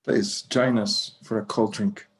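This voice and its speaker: An actor playing an elderly, stubborn but tender Mexican-American rancher father in a table read, halting and slipping into Spanish. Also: on the low side, steady tone. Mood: reflective, gentle. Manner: halting, repeating words, dropping in Spanish. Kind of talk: screenplay table read acting.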